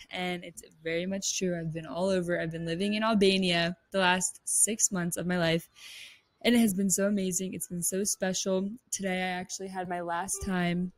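A woman's voice: lowish voice, dry recording. A young woman from Pennsylvania hosting a podcast, chatting in a bubbly, upbeat way with lots of fillers, recorded on a close handheld mic outdoors. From Pennsylvania, United States. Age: 20s. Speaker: podcast host. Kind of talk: casual chat. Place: rooftop terrace outdoors. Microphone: large desk mic held close, headphones.

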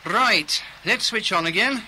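A British man playing a brisk, commanding 1920s chief engineer in a radio play. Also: high-pitched, noisy background. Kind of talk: radio play acting, period dialogue.

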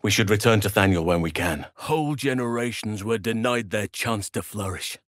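A male actor playing a grave, earnest elf druid in a video game, speaking solemnly in measured, formal phrases. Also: fairly low pitch, fairly bright tone, no echo, clean studio sound, lightly acted. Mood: solemn, sorrowful. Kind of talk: scripted game voice lines.

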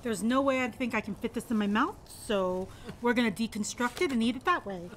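Young woman food vlogger, bright chatty voice, table-side camera mic with patio background noise. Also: a little high, brisk. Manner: chatty, describes each bite. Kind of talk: casual food review to camera. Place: restaurant patio, outdoors. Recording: noisy patio ambience.

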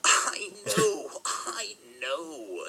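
A man's voice: on the high side, huge pitch swings, extremely slow, background noise, exaggerated voice. A man playing a sly, teasing, theatrically mischievous chaos creature in a cartoon audio drama.

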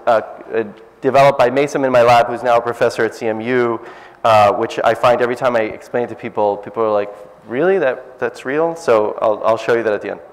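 A middle-aged man with a loud voice giving a casual, self-deprecating science talk to a cafe audience. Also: medium pitch. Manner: self-deprecating, lively, jokey. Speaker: science speaker. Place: cafe event space, indoors. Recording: echoey event space.

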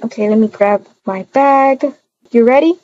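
Woman, mellow and unhurried, halting improvised game roleplay, slightly noisy dull recording. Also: fairly high pitch. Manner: hesitant, halting, with many hums. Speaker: roleplay video creator. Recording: dull muffled audio, faint noise.

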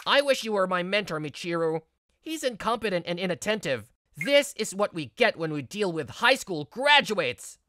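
A man's voice: sits high, very animated, moderate pace, sharp tone, no room sound, clean studio sound, heavily acted. A man voicing an arrogant young male rookie office worker in a manga story dub, indignant, whiny and complaining.

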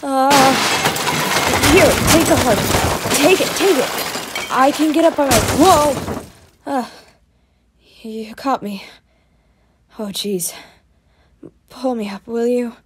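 A woman playing a playful, excitable young male adventurer in an audio roleplay, chatty and expressive, affectionate with a partner. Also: high voice, takes it slowly, pauses often, husky, exaggerated voice. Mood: panicked, urgent, then relieved, fond. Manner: breezy, giggly, expressive, chatty.

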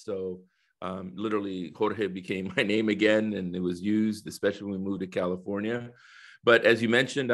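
A man in his fifties with a low voice talks as an interview guest. He explains things conversationally, pausing and restarting as he goes, and often checks in with a short tag question. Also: a bit bright. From El Salvador, raised in the United States. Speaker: guest, corporate diversity executive. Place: indoor office, video call. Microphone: headset on a video call.

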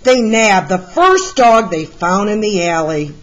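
A woman reads a children's picture book aloud at a slow pace. Her delivery is expressive and deliberate, like a storyteller guiding young listeners through the pages. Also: mid-range voice, rough recording. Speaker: read-aloud storyteller, art teacher.

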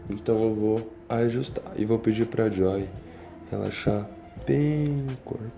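Casting: young Brazilian male chiropractor, low gravelly voice, reassuring, guiding a patient in a roomy space. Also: fairly even intonation, unhurried, mellow. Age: young adult. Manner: reassuring, checking in often. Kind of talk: instructing a patient during treatment, explaining to camera. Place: small indoor treatment room with large windows. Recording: roomy echo, faint music behind.

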